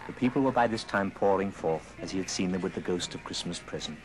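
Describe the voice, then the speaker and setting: A man giving expressive, theatrical storybook narration on an old radio drama record. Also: deep voice, quick pace, low-quality recording. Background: music.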